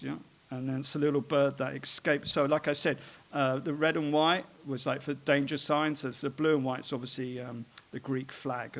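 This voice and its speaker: Man, artist, informal talk, halting, rambling, lots of fillers, mid pitch. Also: creaky. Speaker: artist giving a talk on his work. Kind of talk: informal talk about his art.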